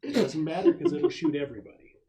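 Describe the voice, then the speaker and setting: A man's voice: on the high side, wildly swooping pitch, quick pace, warm tone, slight background noise. A man acting as game master, explaining the scene and rules casually with a joking touch. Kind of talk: casual tabletop game narration and banter.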